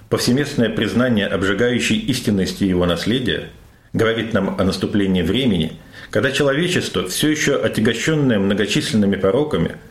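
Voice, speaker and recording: Give me a man solemnly reading his own prose in a reverberant museum room, low-pitched. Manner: slow, emphatic declamation. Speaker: author reading from his book.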